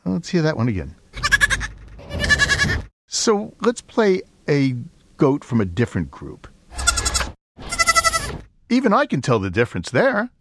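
A man's voice: fairly high pitch, wildly swooping pitch, a little slow, long pauses, heavy background noise. A man from Brooklyn with a New York accent, hosting a public radio interview with curious, playful questions. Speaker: radio show host. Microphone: studio microphone.

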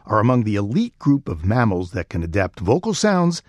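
Radio host, male, New York accent; very animated delivery, dry studio sound. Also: fairly low pitch, normal speed, dry recording. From Brooklyn, New York. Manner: curious, playful questioning with a widely swinging voice. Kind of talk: public radio interview. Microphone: studio microphone.

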